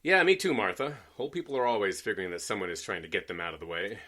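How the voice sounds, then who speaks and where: Actor doing skeptical sidekick guy in old radio play, talks fast, bright punchy voice. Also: fairly low pitch. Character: brisk, skeptical man, easygoing sidekick of a woman lawyer. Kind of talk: old-time radio drama acting.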